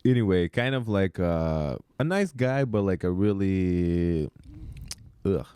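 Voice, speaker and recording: A young man from Moldova talks as a podcast guest, relaxed and chatty, stringing his stories together loosely. His voice sits low and comes across smooth and resonant. The sound is dry and close, with a mellow tone. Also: takes it slowly, dry recording. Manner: laid-back, chatty, peppered with "like" and "you know". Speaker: podcast guest, ballroom dancer. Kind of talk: casual conversational storytelling.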